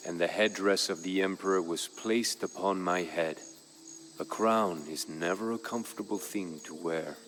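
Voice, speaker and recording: A man playing a proud, somber Aztec emperor, telling of his people's war in grave, measured, formal game narration. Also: sits low, background noise. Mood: grim, vengeful. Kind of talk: solemn scripted narration in character. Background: music.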